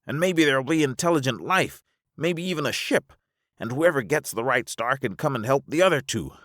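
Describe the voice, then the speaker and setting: A man voicing a weary, philosophical spaceman in a science fiction audiobook, folksy and excitable as he reasons aloud. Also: medium pitch, fairly quick, dry recording, studio quality, voice pushed up, close to an everyday voice. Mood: resolute. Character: high-voiced drawling spaceman, weary, resigned, philosophical castaway.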